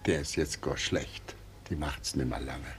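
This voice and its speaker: Gravelly old Silesian weaver. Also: male voice, extremely low pitch, a little slow, full character voice. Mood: grumbling. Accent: Silesian German. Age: older. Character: poor old weaver father, garrulous, grumbling, downtrodden. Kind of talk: dialect radio drama acting.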